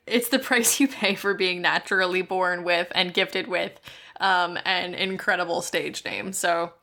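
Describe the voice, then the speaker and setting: Bright-voiced playful woman podcast host. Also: lowish voice, steady tone. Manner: playful, quick to laugh, self-deprecating. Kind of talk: casual chat.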